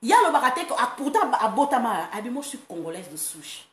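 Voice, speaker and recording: A woman from France hosting a casual online monologue, animated and quick to laugh, breaking into playful chants. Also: fairly high pitch. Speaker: online talk host. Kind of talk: casual monologue, mixing Lingala and French. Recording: roomy echo, faint background noise.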